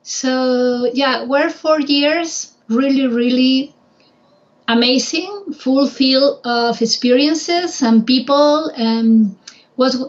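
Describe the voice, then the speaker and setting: A woman's voice: high voice, very slow, muffled recording. Spanish-accented woman telling stories. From Spain. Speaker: guest, travel guide. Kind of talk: casual conversation.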